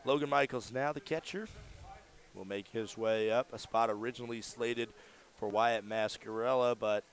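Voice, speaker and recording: A man doing live radio play-by-play commentary of a baseball game, in a measured delivery that lifts for the action. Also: mid-range voice, steady tone.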